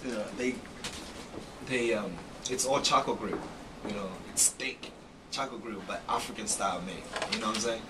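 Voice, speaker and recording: A man from Zambia, answering interview questions in a lively way with many repeated "you know" phrases. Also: lowish voice, moderate pace. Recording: distant mic with background noise.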